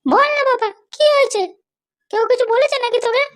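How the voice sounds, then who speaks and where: A woman's voice: very high-pitched, brisk, fairly bright tone. A woman voicing a doting but bossy mother in a cartoon, fretful, insistent and nagging. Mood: worried, coaxing. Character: doting, anxious, bossy mother of a grown son. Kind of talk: cartoon dialogue.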